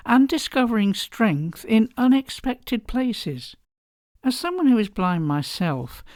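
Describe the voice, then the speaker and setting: A woman hosting a faith podcast, reading a scripted introduction in a measured, expressive way. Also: mid-range voice, dry recording, clean studio sound. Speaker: podcast host.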